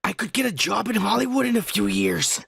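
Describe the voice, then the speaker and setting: A raspy man with a Mexican-American accent, talking loud and crude as he jokes with a co-host. His voice sits high and has a gravelly edge. The sound is close and dry. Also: a little fast, no room sound. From United States. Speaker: podcast co-host. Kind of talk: casual comedic banter.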